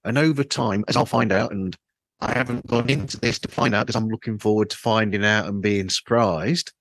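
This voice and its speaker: Low-voiced man hosting, dry flawed mic. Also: a little fast, fairly bright tone, no room sound, low-quality recording. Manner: rambling, roundabout questions. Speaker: podcast host, interviewer. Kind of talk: casual interview chat. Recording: flawed microphone sound, dry.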